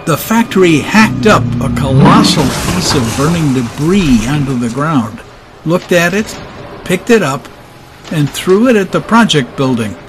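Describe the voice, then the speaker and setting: A man's voice: on the high side, sing-song, a little slow. A man narrating a steampunk adventure audio drama as a scripted, dramatic storyteller. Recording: music under the narration.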